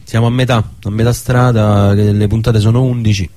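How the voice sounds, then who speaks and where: Low-voiced Roman man chatting casually. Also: flat delivery, fairly quick, mellow. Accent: Roman Italian. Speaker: radio guest, theatre maker. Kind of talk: casual interview chat.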